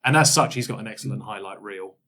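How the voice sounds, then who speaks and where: A man's voice: lowish voice, fast, crowd noise. A man hosting a casual look-back podcast chat, often circling back over his words in a hesitant way. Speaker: podcast host.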